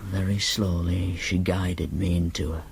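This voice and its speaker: A man's voice: low-pitched, level tone, unhurried, creaky, a little mellow, a little hiss or hum. An actor narrating in first person as a young man with a Northern English accent, telling the story in a dry, matter-of-fact way. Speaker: narrator and main character.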